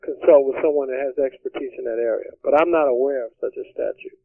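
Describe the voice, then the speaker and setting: A man's voice: mid-range voice, quick pace, vocal fry, heavy background noise. A man speaking as a lawyer on a phone call, polite, hesitant and cautious. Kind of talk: phone conversation. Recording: tinny narrowband phone line.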